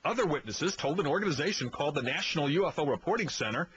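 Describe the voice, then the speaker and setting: A man's voice: fairly high pitch, lively intonation, fast talker, a bit bright, highs cut off, a little hiss or hum. An American man reporting for TV news, narrating a scripted package.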